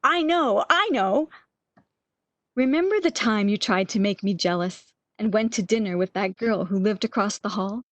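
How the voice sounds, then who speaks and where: A woman's voice: mid-range voice, moderate pace, no room sound, natural voice. A woman playing a bedridden, lovesick woman in a radio romance, with breathless, emotional, old-fashioned melodramatic delivery. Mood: eager, nostalgic. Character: bedridden lovesick woman, wistful, eager, longing for lost love.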